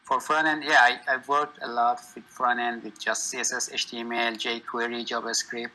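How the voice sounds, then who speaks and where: A man from Iran, a software engineer talking casually on a video call, friendly and pausing as he lists things. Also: medium pitch, little pitch movement, rough recording. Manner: friendly, lists tech with short pauses. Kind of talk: casual networking chat.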